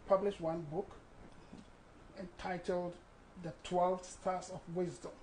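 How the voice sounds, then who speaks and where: A Ghanaian man in his sixties, a guest author speaking in a measured, explanatory way in a studio interview on a lapel mic. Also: high-pitched, little pitch movement, mellow, slight background noise, rough recording. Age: 60s.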